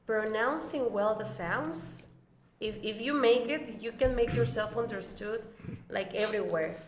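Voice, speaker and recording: Woman, teacher, measured, higher pitch, echoey room. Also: slight background noise. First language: Spanish. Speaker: guest speaker, English teacher. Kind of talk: panel discussion.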